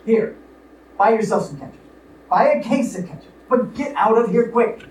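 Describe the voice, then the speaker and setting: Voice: mid-range voice, moderate pace, a little mellow, close to an everyday voice. An actor playing an exasperated, scheming young son with an urgent, sarcastic manner in a comic radio play. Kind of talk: old-fashioned comic radio play acting. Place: live radio play performance in a room. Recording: distant echoey live stage, noisy.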